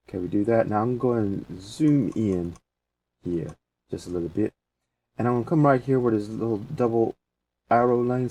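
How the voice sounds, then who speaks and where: A man presenting a casual software tutorial, talking through each step as he goes in informal, folksy phrasing. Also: fairly low pitch. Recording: distant mic with faint background noise.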